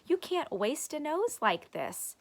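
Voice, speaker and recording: Lady doing a cocky excitable little boy from a storybook, voice bouncing all over, emphatic. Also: sits high, slow pace, voice pushed up, full character voice. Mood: insistent boasting. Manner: eager, boastful, emphatic. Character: boastful excitable young boy proud of his nose.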